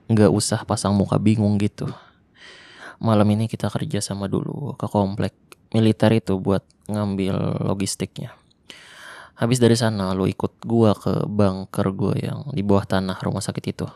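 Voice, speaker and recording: Man playing a gravelly, protective zombie-apocalypse survivor. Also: fairly low pitch, little pitch movement, normal speed, long pauses, no room sound. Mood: gentle teasing. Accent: Jakarta colloquial. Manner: soft, teasing, reassuring. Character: tough male ex-special-forces zombie survivor, protective, flirty. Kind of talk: ASMR roleplay.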